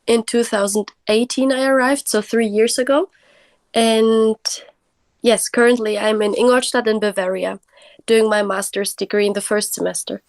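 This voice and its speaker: A woman's voice: fairly high pitch, fairly even intonation, normal speed, dry recording. A young woman from Germany, a master's student, introducing herself in a friendly, slightly unsure way with a few fillers. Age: young adult.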